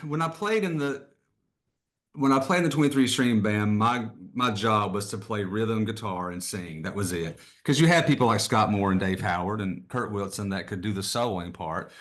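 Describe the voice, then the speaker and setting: Man, middle-aged, lower voice, easygoing rambling chat, musician guest. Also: normal speed. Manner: relaxed, rambling, laughs often. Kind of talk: casual conversation.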